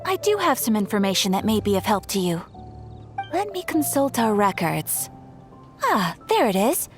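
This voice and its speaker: A woman's voice: medium pitch, very animated, moderate pace, faint music behind, lightly acted. A woman playing a courteous, helpful young guild receptionist in an English game dub, speaking politely and formally with encouragement.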